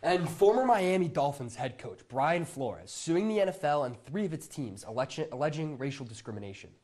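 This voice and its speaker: A man's voice: fairly high pitch, big pitch swings, brisk, darkish tone, no room sound. A young American man delivering broadcast news as a student TV reporter, in a formal announcer-like manner. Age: young adult.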